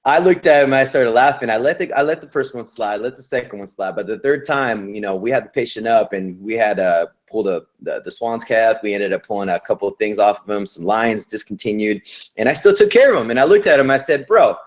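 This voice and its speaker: A man's voice: lowish voice, fairly quick. Man, male nurse, interview guest, upbeat, slangy, encouraging, casual chat.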